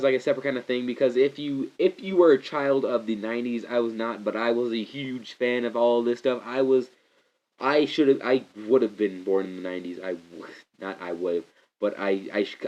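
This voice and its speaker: A young man with a stuffy, congested voice gives a rambling, excitable movie review, often restarting his sentences. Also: medium pitch. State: congested from a cold. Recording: slightly muffled lo-fi recording.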